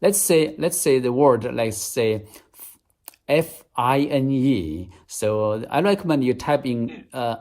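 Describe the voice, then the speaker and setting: A man's voice: medium pitch, animated, takes it slowly, a little mellow. Earnest man with a Chinese accent. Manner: earnest, step-by-step, repeats phrases. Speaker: English teacher. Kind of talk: app demonstration tutorial.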